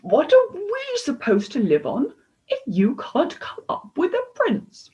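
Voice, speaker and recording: A woman voicing a rude, excitable, scheming king and father in a storybook, eager and exclamatory. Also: high-pitched, unhurried, dull audio, voice pushed up, full character voice. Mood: indignant.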